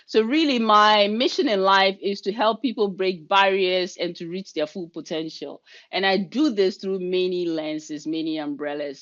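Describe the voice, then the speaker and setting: Brief: middle-aged Ghanaian woman, lower voice, brisk and lively workshop leader on a video call. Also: muffled recording. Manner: fast, energetic, chatty delivery. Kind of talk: online workshop presentation.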